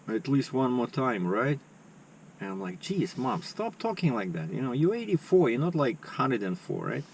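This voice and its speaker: Guy in his 50s, vlogging, rambly chat, mid pitch, bit far from the mic. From Russia. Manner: rambling, conversational, with many fillers. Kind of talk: casual chat to camera. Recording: slightly distant mic with faint background noise.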